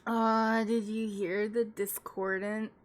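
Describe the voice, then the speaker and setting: A woman's voice: medium pitch, level tone, slow pace. A woman streamer chatting casually as she reacts to songs, rambling with lots of fillers and small laughs. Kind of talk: casual live-stream commentary.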